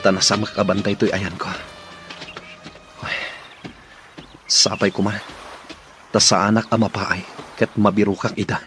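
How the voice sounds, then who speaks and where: A man's voice: lowish voice, little pitch movement, unhurried, long pauses, fairly bright tone, faint music behind. A man playing an earnest, determined young man in a radio drama, speaking solemnly. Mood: earnest, resolute.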